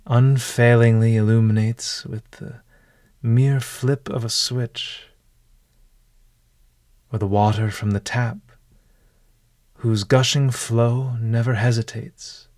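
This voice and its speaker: A man narrating a guided meditation in a formal, measured, literary manner. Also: mid-range voice, fairly even intonation, darkish tone, no echo, clean studio sound.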